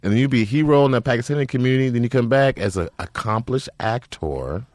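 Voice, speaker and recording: A man hosting a casual comedy podcast, animated and joking, swearing freely. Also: medium pitch, quick pace, no room sound, studio quality. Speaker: podcast host.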